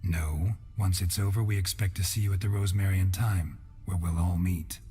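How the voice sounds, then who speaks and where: Very low-pitched male monster hunter, stoic, terse, deadpan, video game, music underneath. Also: fairly quick, husky. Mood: matter-of-fact. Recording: music behind the voice.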